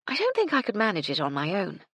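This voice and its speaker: A woman voicing a composed, polite female hotel owner with a prim, measured manner, in an audiobook. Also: mid-range voice, wildly swooping pitch, fairly bright tone, slightly rough, no room sound, clean studio sound, pitched above the performer's own voice, exaggerated voice. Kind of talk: audiobook character voice.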